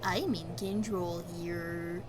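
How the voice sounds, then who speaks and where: A woman acts out a sinister doctor reading a horror bedtime story. The delivery is slow and hushed, taunting the young listener with quiet, controlling menace. Faint noise sits behind the voice. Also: fairly low pitch, steady tone, pitched below the performer's own voice.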